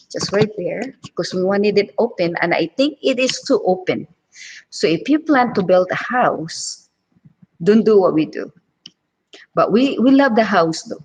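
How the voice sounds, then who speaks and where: A woman's voice: lowish voice, darkish tone, slightly rough, poor audio. A middle-aged woman from Cebu in the Philippines with a heavy Filipino accent, chatting casually on a livestream from her kitchen with lots of fillers. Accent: Filipino (Cebuano). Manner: chatty, rambling, many fillers. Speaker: home cooking vlogger. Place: her home kitchen, indoors.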